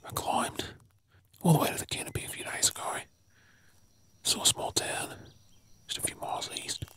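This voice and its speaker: An Australian man playing a weary, protective survivor of a zombie apocalypse in a whispered ASMR role play, hushed, urgent and coaxing. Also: moderate pace, close to an everyday voice. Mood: weary, resolute.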